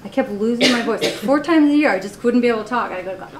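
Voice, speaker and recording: A woman's voice: on the high side, fast. A woman in her forties talks through her own artworks at a college gallery, candid and laughing often, her speech full of "you know." The live recording sounds roomy. There is a faint noise floor under it. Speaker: artist giving a gallery talk.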